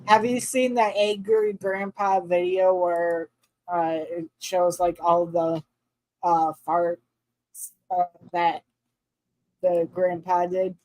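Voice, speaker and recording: A twenty-four-year-old woman chatting casually, hesitant with fillers, quick to correct others. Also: fairly low pitch, level tone, a little mellow, poor audio. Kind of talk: casual stream chat.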